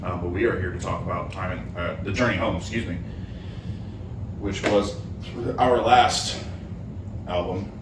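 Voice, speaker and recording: Low-voiced man chatting in a roomy den. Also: a little slow, long pauses. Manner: relaxed, rambling, with frequent fillers and laughs. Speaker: podcast host and band member. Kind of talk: casual chat. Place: living room with a football game on. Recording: roomy, distant mic, noisy background.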